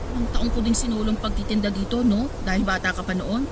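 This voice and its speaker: A woman's voice: medium pitch, fairly even intonation, quick pace, noisy background, close to an everyday voice. An actress playing a hardworking, scolding Filipina mother who sells vegetables at market, nagging and pleading in a Tagalog radio drama. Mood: exasperated. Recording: loud music under the voice.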